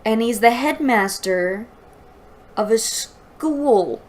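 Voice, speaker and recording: A woman's voice: on the high side, expressive, slow pace. A woman reading aloud and giving mocking, incredulous commentary as the host of a book review. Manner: exasperated, incredulous.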